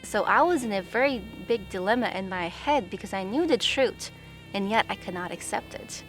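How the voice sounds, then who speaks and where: A woman's voice: mid-range voice, expressive, moderate pace, poor audio. A young woman from Pakistan giving an earnest, reflective personal testimony. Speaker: testimony speaker. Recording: music bed underneath.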